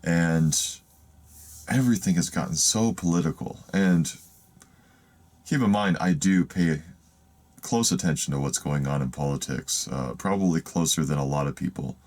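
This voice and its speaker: Very deep raspy man vlogging. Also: normal speed, a little mellow. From United States. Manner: rambling, hesitant, many restarts. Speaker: van life vlogger. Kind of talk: casual talk to camera. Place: in a van.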